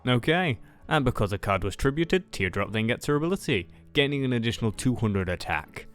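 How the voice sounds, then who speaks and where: A man's voice: medium pitch, expressive, brisk, clear, resonant tone, faint music behind. A man talking casually through his moves as a player in a roleplay card duel. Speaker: card game duelist player.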